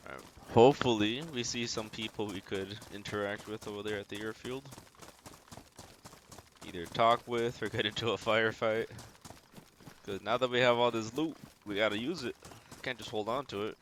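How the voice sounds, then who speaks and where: Male game streamer, lower-pitched, laid-back running commentary over audible background game noise. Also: steady tone, moderate pace, fairly bright tone. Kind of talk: casual gameplay commentary, thinking aloud.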